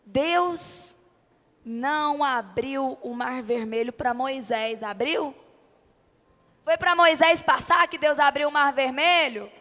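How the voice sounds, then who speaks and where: A woman with a high voice and a Brazilian accent preaches with passion and emphasis. She leans on rhetorical questions and short repeated phrases. Her voice rings with the long reverb of a large hall. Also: slow pace, bright, forceful tone. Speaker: preacher, church bishop. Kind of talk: impassioned youth-event sermon. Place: church event before a congregation.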